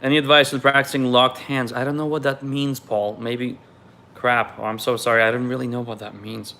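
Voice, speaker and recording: A man's voice: medium pitch, flat delivery, a little fast, creaky, sharp tone. A man from Ukraine, raised in Israel, reads viewer questions aloud on a live stream and answers them in a loose, candid way.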